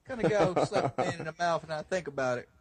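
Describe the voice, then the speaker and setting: Young man, twenties, slightly high voice, raspy, casual podcast chat. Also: lively intonation, fast, dry recording. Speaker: podcast host.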